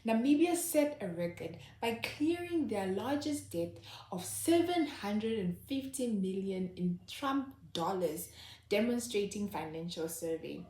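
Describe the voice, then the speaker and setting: A woman delivers scripted satirical commentary in a sardonic, knowing tone. Her voice sits at an ordinary middle pitch, and the recording carries a noticeable roomy echo. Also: expressive, unhurried, dark tone. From Zimbabwe. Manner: sardonic, wry. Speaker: host. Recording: echoey room.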